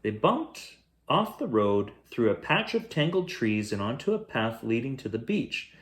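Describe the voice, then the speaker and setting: Male storyteller for a children's picture book; medium pitch, animated delivery, measured phrasing with expressive emphasis. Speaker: bedtime story reader, host.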